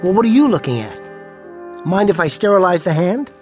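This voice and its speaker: Weary, nervous club ventriloquist, American, high male voice, swooping intonation, placating banter, radio drama. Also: sing-song, a little fast, a little mellow, soft background music. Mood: irritated. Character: uneasy male nightclub ventriloquist, weary straight man to his dummy.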